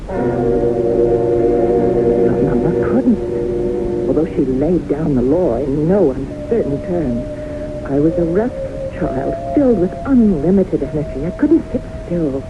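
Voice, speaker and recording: An actress in an old-time radio drama playing a haunted widow who tells her story in a dramatic, deliberate, earnest way. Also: sits low, expressive, loud music behind the voice, exaggerated voice. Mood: reminiscing. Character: haunted widow solemnly recounting her brushes with death. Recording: dull, hissy old radio broadcast.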